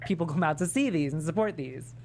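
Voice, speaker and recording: A woman's voice: deep voice, lively intonation, fast, a little mellow. A woman speaking as a panelist in a casual discussion.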